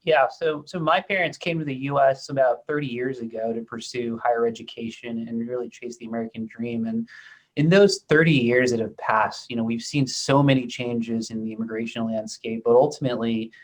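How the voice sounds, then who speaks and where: A young man with a middle-pitched voice speaks as a startup co-founder. He answers thoughtfully, pausing on ums and restarting phrases as he goes. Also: steady tone, rough recording. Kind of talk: panel interview answers.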